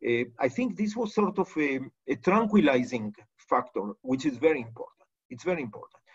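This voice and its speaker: A man's voice: mid-range voice, big pitch swings, brisk, slightly rough. Older Argentine-accented man on a video call. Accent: Argentine Spanish. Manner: thoughtful, repeats key phrases, frequent "uh" fillers. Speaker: economist guest. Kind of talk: unscripted expert answers on a video call. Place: home office with bookshelves. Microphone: video call webcam. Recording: slightly dull video call audio.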